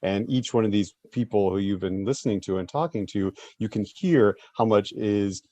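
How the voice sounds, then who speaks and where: A man with a fairly low, very mellow voice in a dry, close recording. He talks earnestly and reflectively, as a director in an interview, leaning on repeated phrases to stress his points. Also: normal speed, no echo, highs cut off. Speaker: writer-director being interviewed.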